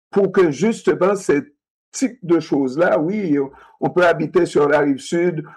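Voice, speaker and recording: A man in a discussion, talking in an animated way, repeating himself and asking rhetorical questions. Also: on the high side, slightly rough.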